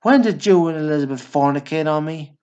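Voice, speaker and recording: A man's voice: a little high, brisk, a little mellow, dull audio, lightly acted. A male actor playing an indignant, profane older brother and war veteran in a radio play. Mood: preachy indignation. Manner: profane, blustering, preachy.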